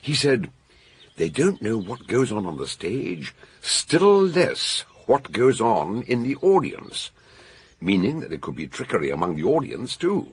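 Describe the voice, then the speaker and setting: An actor playing a jovial, commanding older detective in a British radio mystery, with a British accent, a gruff voice and a theatrical, expansive way of explaining. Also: male voice, on the low side, very animated, unhurried, no echo, exaggerated voice. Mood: genial, explaining. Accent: British English.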